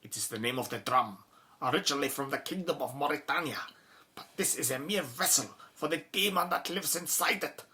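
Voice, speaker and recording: Actor doing a formal doom-warning official in a radio play, urgent and precise, forceful edge to the voice. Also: medium pitch, brisk, natural voice. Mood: grave, urgent warning. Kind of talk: audio drama acting.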